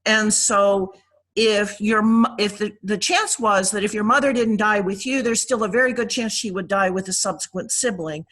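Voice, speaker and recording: Woman teaching in an informal, deliberate way. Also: medium pitch, fairly bright tone. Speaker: priest teaching a Bible class.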